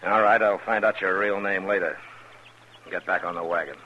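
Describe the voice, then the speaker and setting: A man's voice: low-pitched, fairly even intonation, moderate pace, natural voice. An actor playing a stern, commanding Old West lawman with an American accent and a curt manner in a 1950s radio western. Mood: cold, sardonic. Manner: curt, authoritative, giving orders. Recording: dull, noisy old radio recording.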